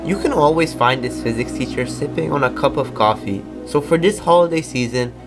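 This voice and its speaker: Teenage male, medium pitch, scripted show narration, student presenter, clear guitar music underneath. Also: expressive, brisk.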